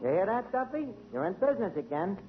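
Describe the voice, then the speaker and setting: A brash, wisecracking man with a high voice works the room on a 1940s radio comedy. He talks big and mangles his words. The old broadcast sounds dull and carries a faint hiss. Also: very animated, fast talker. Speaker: tavern manager and show host.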